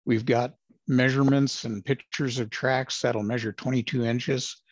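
A man in his sixties, a retired ranger, telling his stories in a measured, plain-spoken way with many fillers. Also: mid-range voice, fairly even intonation, no echo, lo-fi sound. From Los Angeles, United States. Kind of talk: casual interview storytelling.

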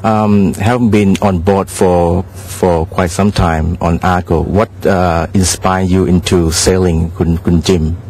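A man interviewing a guest, asking questions haltingly with many pauses. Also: sits low, steady tone, a little mellow. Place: outdoors at a regatta.

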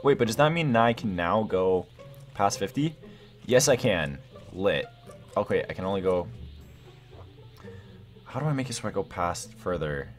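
A young man talks through a game in a slightly low voice that jumps around a lot in pitch. He is excitable and slangy, thinking out loud as he plays. Faint music runs behind his casual commentary. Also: moderate pace, long pauses. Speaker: gaming video host.

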